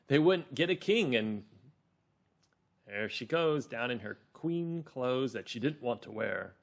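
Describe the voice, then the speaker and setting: A man hosting a children's read-along lesson, narrating and explaining words with careful stress, in an animated way. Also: medium pitch. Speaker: teacher, reading-lesson host.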